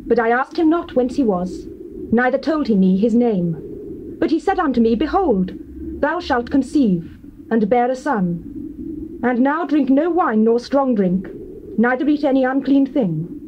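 A woman playing a devout, earnest wife in a dramatized scripture recording, with measured, theatrical, formal speech. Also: fairly high pitch, animated. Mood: awed. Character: devout, earnest wife, awed yet sensible. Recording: dull, slightly hissy old cassette tape.

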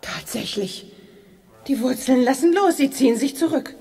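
An actress playing an alert, plucky adventure heroine in a children's sci-fi radio drama, earnest, brisk and dramatic. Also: female voice, high-pitched, expressive, darkish tone, slightly rough, full character voice.

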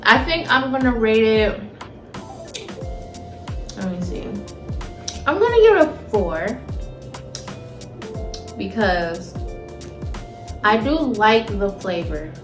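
A woman's voice: on the high side, expressive, slow pace, long pauses. An American woman in her thirties giving an informal, slangy food review while she eats. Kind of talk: casual chat, food review. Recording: roomy home recording with faint music.

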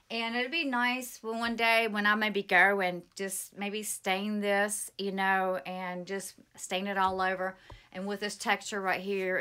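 A woman's voice: medium pitch, sharp tone, clean tone. A woman in her fifties giving a casual, rambling walkthrough of her shelf build, recorded on a handheld phone in a small pantry room. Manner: rambling, self-correcting, plainspoken. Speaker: homesteading vlogger. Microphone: phone held at arm's length.

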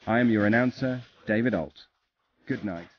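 A man's voice: lowish voice, moderate pace. A man reading a scripted sign-off as a podcast announcer. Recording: slightly dull with faint noise.

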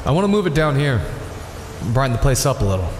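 An actor playing a brooding, remorseful vigilante in an audio drama, speaking in a halting, understated way. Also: male voice, medium pitch, big pitch swings, slight background noise, lightly acted. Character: brooding, remorseful male masked vigilante, unmasked. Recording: long reverb, music underneath.

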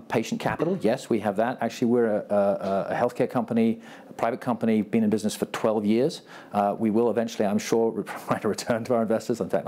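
Fast-talking Texan businessman on a panel. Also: fairly low pitch, warm tone. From Texas. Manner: brisk, self-deprecating, list-like. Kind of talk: panel discussion remarks. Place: large conference stage before an audience. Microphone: lapel mic.

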